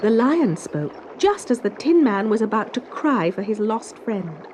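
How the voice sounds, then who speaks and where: A woman reading a children's story as narrator, in an expressive, dramatic storytelling manner. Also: medium pitch, a little slow, soft background music.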